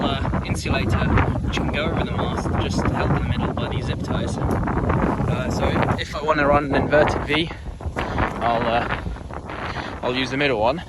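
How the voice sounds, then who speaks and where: A man casually presenting portable radio gear in an informal, practical, slightly hesitant way, recorded outdoors. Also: medium pitch. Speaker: amateur radio operator, video presenter. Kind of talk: casual hands-on gear demonstration and chat. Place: outdoors in open countryside. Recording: windy, noisy outdoor microphone.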